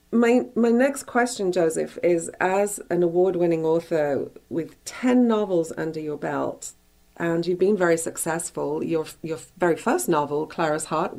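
A woman's voice: on the low side, vocal fry, darkish tone. A woman presenting a broadcast interview as the host, introducing her guest and putting questions to him. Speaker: radio talk show host, interviewer.

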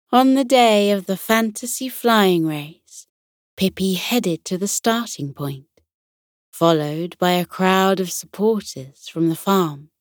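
Female narrator for children's bedtime tales, lowish pitch, very slow delivery, gentle and soothing. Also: dry recording, studio quality.

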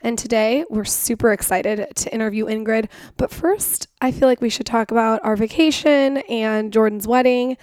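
A woman with a slightly hoarse voice, chatting casually as a podcast co-host and reading personal ads. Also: on the high side, fairly even intonation, normal speed, few pauses, darkish tone, no echo, clean studio sound. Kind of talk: casual chat and personal ad reads.

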